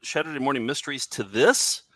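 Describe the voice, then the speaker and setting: Male host, casual and interjecting, forceful animated voice in a dry, close recording. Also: medium pitch, brisk, no room sound. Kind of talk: casual chat.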